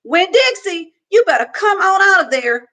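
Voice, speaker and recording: A woman's voice: very high voice, big pitch swings, moderate pace, pushed and bright, voice pushed up, full character voice. A woman voicing a bold, stubborn ten-year-old girl who hollers back at others. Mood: defiant. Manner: hollering, defiant.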